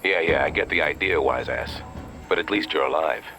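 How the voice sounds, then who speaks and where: Low, sharp-voiced radio contact. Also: male voice, normal speed, soft background music, full character voice. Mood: urgent, weary. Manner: earnest, matter-of-fact. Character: serious male inside contact, terse covert operative. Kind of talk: video game cutscene acting.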